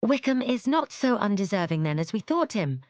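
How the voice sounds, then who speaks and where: A woman voicing a gentle, hopeful young woman who speaks softly and earnestly. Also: medium pitch, very animated, moderate pace, no echo, voice pushed up, close to an everyday voice. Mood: eager.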